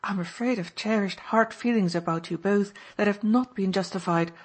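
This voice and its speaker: A woman's voice: medium pitch, normal speed, close to an everyday voice. A woman voicing a wealthy society matron who exclaims and gushes. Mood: gushing. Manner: exclaiming, gushing.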